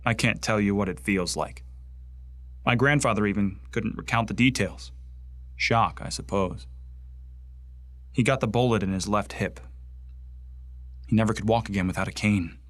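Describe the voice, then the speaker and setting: An actor playing a bitter, zealous young Martian recruit in a science fiction radio play, speaking with earnest, deliberate contempt. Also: male voice, on the low side, a bit bright, dry recording, natural voice. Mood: scornful, intense. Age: young adult. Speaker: actor in an audio drama.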